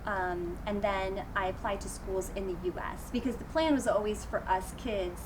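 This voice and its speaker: A woman teacher answering interview questions about her life in a relaxed, thoughtful way, with frequent pauses. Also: mid-range voice. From United States. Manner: thoughtful, with frequent ums and light laughs. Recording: noisy background ambience.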